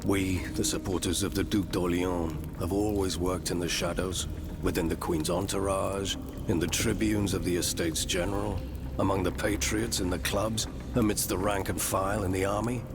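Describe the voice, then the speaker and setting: An actor playing a defeated, bitter military officer and conspirator in a dramatic video game cutscene, speaking gravely and formally. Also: male voice, low voice, steady tone, normal speed. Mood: grave, proud. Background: loud dramatic music.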